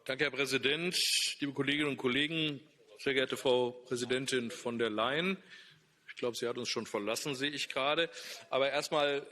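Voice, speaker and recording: A man's voice: mid-range voice, normal speed, pushed and bright. A man giving a formal parliamentary floor speech, courteous and appreciative with mild criticism. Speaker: member of parliament. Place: parliament plenary chamber. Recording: reverberant chamber.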